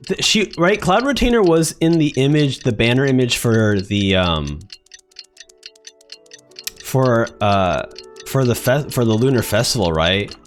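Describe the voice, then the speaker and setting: Male game streamer, very animated intonation, casual, joking, rambling, exclamatory, faint music behind. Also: mid-range voice, a little slow. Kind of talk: casual live gameplay commentary.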